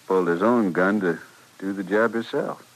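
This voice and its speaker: An actor playing a blunt, candid gunman of the Old West in a 1950s radio western, halting and plainspoken. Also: deep voice, rough recording. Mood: subdued confession. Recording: vintage 1950s radio drama recording.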